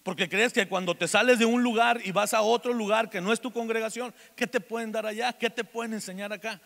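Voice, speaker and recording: High bright-voiced Mexican preacher in a reverberant hall. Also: male voice, fairly even intonation, brisk, gravelly. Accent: Mexican Spanish. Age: 40s. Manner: joking storytelling between earnest exhortation. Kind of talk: sermon. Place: church meeting hall.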